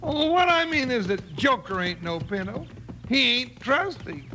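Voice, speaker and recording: An actor playing a big, jolly, complaining cowboy deputy with a folksy drawl in a radio western. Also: male voice, very high-pitched, big pitch swings, a little slow, pushed and bright, slight background noise, heavily acted. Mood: lazy grumbling. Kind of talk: 1950s radio western acting.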